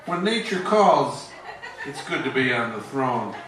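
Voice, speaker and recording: A man's voice: mid-range voice, very animated, measured pace. A man playing a showy old-time radio announcer in a parody broadcast, introducing things in a hammy, theatrical way. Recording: distant, very echoey, music behind.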